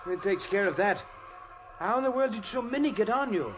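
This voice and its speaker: An excitable, obsessive professor from an old radio mystery. His voice runs very high and theatrical, with fervent delivery. The recording is dull and vintage, with faint noise. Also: fairly quick. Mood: brisk. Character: obsessive, excitable professor inventor fighting the insect world.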